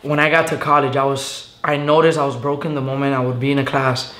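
A young man from Venezuela, a guest telling his story in a casual interview, earnest and halting with many fillers, sitting on a living room couch. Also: medium pitch, little pitch movement, fairly bright tone. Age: young adult. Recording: echoey room with faint hiss.

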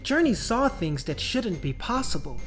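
A man narrates a children's storybook with deliberate, dramatic phrasing. His high, animated voice rises and falls widely over a light musical backing. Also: faint music behind. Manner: expressive, deliberate phrasing with drawn-out words. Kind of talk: dramatic children's read-aloud.

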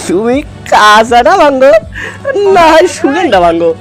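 A man voicing a cheeky, cowardly truant schoolboy in a comic cartoon, whiny and exclaiming with drawn-out sighs in a Bogra accent. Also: extremely high pitch, big pitch swings, over music, exaggerated voice. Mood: nervous pleading. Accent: Bogra, northern Bangladesh. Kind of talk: comic cartoon dubbing in rural dialect.

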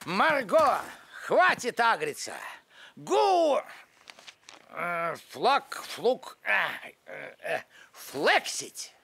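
A man's voice: sits high, huge pitch swings, drawn-out words, gravelly, sharp tone, husky, heavily acted. A man playing a grumpy, lovestruck older village postman in a cartoon, grumbling and theatrical. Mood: awkward, eager. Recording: music bed behind the voice.

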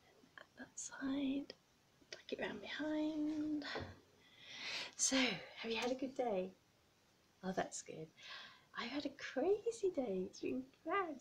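A middle-aged woman in a gentle ASMR barbershop roleplay, chatting softly and dropping into whispers as she attends to the listener in a small indoor room. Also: medium pitch, creaky, a little hiss or hum. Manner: soft-spoken, slips between quiet talk and whispers; chatty, reassuring personal attention. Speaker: ASMR creator playing a barber.

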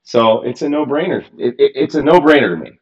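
A man's voice: mid-range voice, animated, quick pace, dull audio. A man in his thirties walking through a radio programming demo in a casual, frank way, with exasperated asides, recorded at a home workbench. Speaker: radio gear reviewer. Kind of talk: casual screen-recorded tutorial.

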